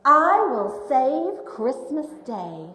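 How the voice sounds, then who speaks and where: A woman's voice: sits high, sing-song, drawn-out words, pushed and bright, a little hiss or hum, full character voice. A woman voicing Santa's brave, cheerful older wife in a children's storybook. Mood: bold, cheerful. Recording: reverberant hall, recorded live.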